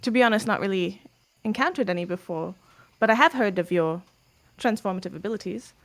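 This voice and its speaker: A woman's voice: medium pitch, fairly quick, fairly bright tone, natural voice. A woman voicing a polite, bookish male scholar with a British accent, formal and a little hesitant. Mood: curious.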